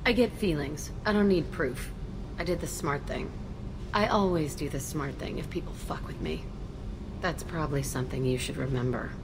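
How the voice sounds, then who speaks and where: A defiant young woman with a prison past, acted by a woman for a game. Her voice is lowish, curt and sardonic, with loud ambient noise behind it. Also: normal speed, close to an everyday voice. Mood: bitter. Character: tough, guarded young female ex-convict, cynical, defiant. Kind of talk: video game character acting.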